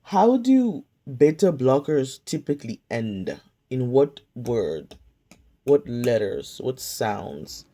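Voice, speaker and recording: Slow, mellow woman quizzing drug names. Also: a bass voice. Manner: calm, measured, question-and-pause drilling. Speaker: pharmacy tech study tutor. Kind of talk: slow flashcard-style quiz review. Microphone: phone camera filming whiteboard.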